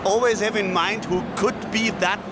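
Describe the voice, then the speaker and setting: High voiced guy interviewing, kinda unsure, restarts a lot, cars going by. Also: fairly even intonation, moderate pace, very noisy. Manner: hesitant, apologetic, restarts questions. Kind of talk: casual interview questions.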